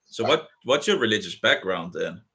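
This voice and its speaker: Man, danish accent, halting rough speech, lowish pitch, bright edge, video call. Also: very animated. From Denmark. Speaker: video chat participant. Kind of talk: casual video chat.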